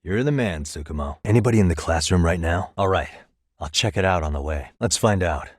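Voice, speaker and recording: An actor playing a calm, wry private detective in his thirties in a video game, speaking in a measured, conversational way. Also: deep voice, dry recording, studio quality. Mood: casual, focused. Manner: measured, conversational, lightly sardonic. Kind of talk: naturalistic English video game voice acting.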